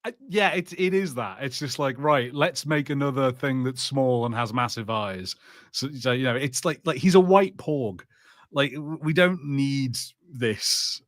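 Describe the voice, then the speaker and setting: A man's voice: medium pitch, unhurried, no echo, studio quality. A man co-hosting a podcast, chatting casually through a film and TV review in an exasperated, sardonic way. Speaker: podcast co-host.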